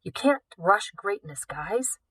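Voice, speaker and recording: A woman's voice: medium pitch, expressive, fairly bright tone, slightly rough, dry recording, heavily acted. Woman voicing a cocky teenage boy tech whiz. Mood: cocky, stalling. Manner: cocky, unhurried.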